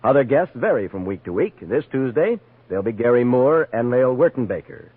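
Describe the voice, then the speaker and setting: A man with a husky voice reading scripted network promos as a 1950s radio announcer. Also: medium pitch, big pitch swings, moderate pace, dry recording. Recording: dry, dull vintage radio recording.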